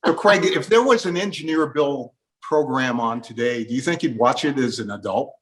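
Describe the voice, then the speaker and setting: A man's voice: medium pitch, animated, normal speed. A man hosting a panel interview, politely putting questions to his guests. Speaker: host interviewer.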